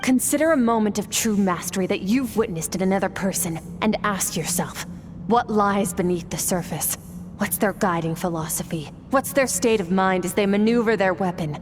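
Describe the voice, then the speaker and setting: A woman voicing a calm, exacting swordmaster who firmly instructs her student in a game. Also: medium pitch, normal speed, natural voice. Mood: stern, instructive. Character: stern swordmaster mentor woman, cool and exacting. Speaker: sword teacher. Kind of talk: game voice acting. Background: game music.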